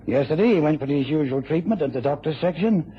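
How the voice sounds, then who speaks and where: Earnest english copper in a radio drama, bit raspy, plain-spoken, dull old broadcast sound. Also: male voice, fairly high pitch, lively intonation, quick pace. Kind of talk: British radio drama acting.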